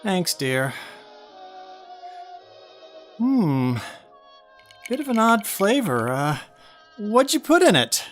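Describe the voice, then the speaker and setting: Radio drama: male actor as tired, irritable middle-aged salesman, slow delivery, pitch swinging widely. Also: very animated, fairly bright tone, faint music behind, full character voice. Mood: puzzled, polite. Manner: weary, sighing, hesitant. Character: weary, grumpy married insurance salesman. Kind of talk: radio play acting.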